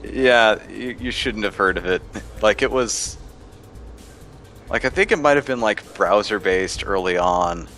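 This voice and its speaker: A man's voice: on the low side, measured pace, fairly bright tone, faint music behind. A man in casual chat about video games, rambling loosely with lots of filler words. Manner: rambling, filler-heavy with frequent "like".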